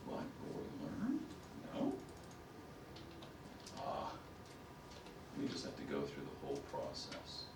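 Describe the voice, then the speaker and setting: Man, raspy voice, professor, animated delivery, roomy echo. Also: very deep voice, takes it slowly, pauses often, far from the mic, background noise. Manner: talks to himself while fumbling with the computer. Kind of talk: classroom lecture. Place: classroom.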